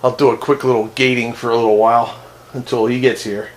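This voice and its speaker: A man's voice: medium pitch, little pitch movement, fairly quick. A man in casual, laid-back conversation as a radio operator, heard over a noisy radio transmission. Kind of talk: casual chat. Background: clear radio noise.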